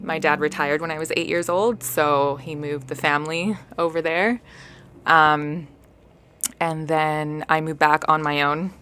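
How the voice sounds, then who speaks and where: A woman's voice: low-pitched, steady tone, measured pace, fairly bright tone, slight background noise. A woman in her thirties, from Canada and Spain, chatting as an interview guest with frequent "um" fillers. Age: 30s. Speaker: guest, model and actor. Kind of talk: casual interview chat.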